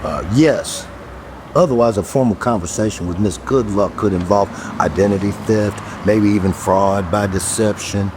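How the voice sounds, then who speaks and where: An actor playing a weary, gruff police detective in a horror audio drama, with a wry, guarded, commanding manner. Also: male voice, fairly low pitch, moderate pace, noisy background, close to an everyday voice. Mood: wry, needling.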